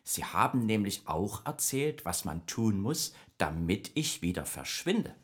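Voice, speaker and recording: Man voicing a nightmare spirit, friendly, chatty know-it-all, husky, lively intonation, children's radio play. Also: on the low side, measured pace, exaggerated voice. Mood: explaining. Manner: explains patiently, evasive then informative.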